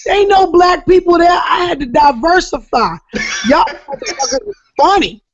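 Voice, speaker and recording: A woman from Jacksonville, Florida with a raspy voice, telling profane stories in casual chat as a comedian guest, often laughing loudly. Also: very high-pitched, lively intonation, moderate pace. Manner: brash, profane storytelling with loud laughter. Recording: dull lo-fi podcast audio.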